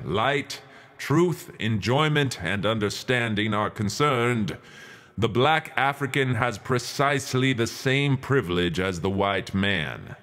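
A man performing a dramatic reading as a stern, resolute 19th-century religious leader, orating in a formal, measured, declamatory manner. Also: medium pitch, a bit bright. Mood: solemn, firm. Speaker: reader.

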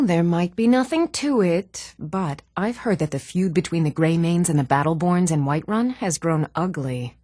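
A woman's voice: lowish voice, a little mellow, no room sound, clean studio sound. An actress playing a sturdy, earnest townswoman and warrior in a fantasy video game, with formal, old-fashioned phrasing.

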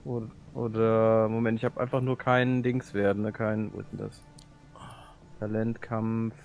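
A man's voice: lowish voice, level tone, normal speed, creaky, darkish tone, highs cut off, faint music behind. A man chatting casually as a player in a tabletop role-playing game.

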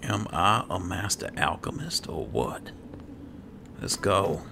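A man's voice: low voice, animated, slow pace. A man muttering to himself as he gives casual commentary on a game he is playing. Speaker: gamer playing a roleplay series. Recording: clear game ambience noise behind voice.

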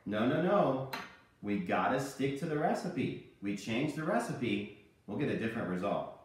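A man in his thirties presenting a cooking lesson for children, playing the animated straight man. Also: medium pitch, normal speed. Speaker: host of a children's cooking show. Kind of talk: scripted instructional presenting with puppet banter. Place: home kitchen. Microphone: camera a few meters away. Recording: very echoey room, distant microphone.

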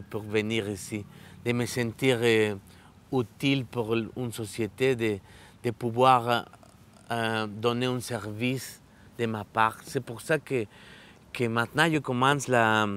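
A man in his thirties from Mexico, with a Mexican Spanish accent, speaking French as a learner; an adult literacy student giving an earnest, halting testimonial outdoors in a riverside park, with many restarts and fillers. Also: mid-range voice, clean tone. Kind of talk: filmed testimonial interview.

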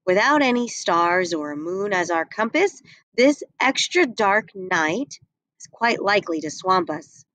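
A woman's voice: medium pitch, lively intonation, a little slow, fairly bright tone, dry recording. A woman voicing Santa, a round, worried old man, calling commands in a storybook reading.

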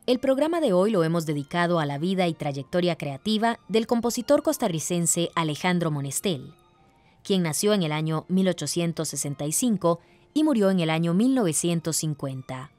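Low-pitched woman narrating scripted radio. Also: lively intonation, moderate pace, a little mellow, no echo, faint music behind.

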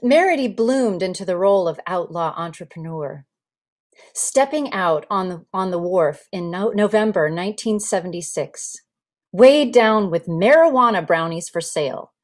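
A woman in her forties, an actor, giving an expressive literary reading on a video call. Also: lowish voice, moderate pace. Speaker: actor reading a memoir excerpt. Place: room with folding screen, indoors. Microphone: video call computer microphone.

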